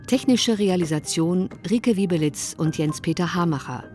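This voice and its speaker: A woman's voice: lowish voice, normal speed, darkish tone, faint music behind. A woman reading scripted radio announcements and credits in a formal, orderly way.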